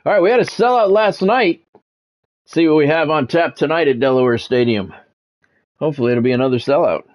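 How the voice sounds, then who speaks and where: A man's voice: on the high side, animated, brisk. A man streaming a football video game, mixing play-by-play commentary with casual, blunt, salty chat.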